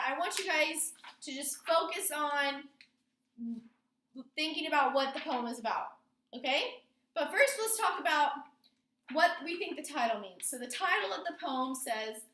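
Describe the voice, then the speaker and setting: High, bright-voiced woman teaching a class. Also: expressive, normal speed, slight background noise. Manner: instructing, asks prompting questions. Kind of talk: classroom lesson with poem read aloud. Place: indoor classroom. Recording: roomy, echoing classroom sound.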